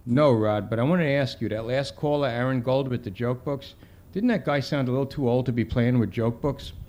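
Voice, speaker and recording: A man's voice: medium pitch, a little fast, darkish tone, lightly acted. A man voicing an old married man phoning in, cranky and joking, with old-fashioned slang. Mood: needling.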